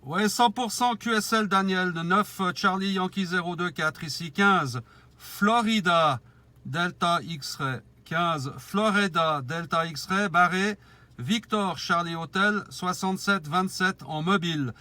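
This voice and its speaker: A man's voice: high-pitched, slow pace, sharp tone, dry recording. A man talking as a mobile radio operator, cordially trading call signs and signal reports.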